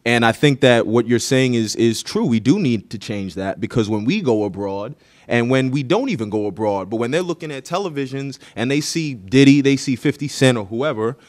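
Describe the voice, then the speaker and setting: A man on a university panel, speaking in a deliberate, earnest way. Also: medium pitch, animated. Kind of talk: panel discussion.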